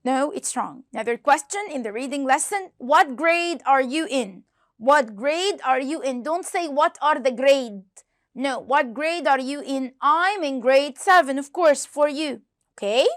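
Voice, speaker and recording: A woman teaching an online English lesson to young students, lively and repeating each question and answer aloud. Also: sits high, a little slow, no room sound, studio quality. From Egypt.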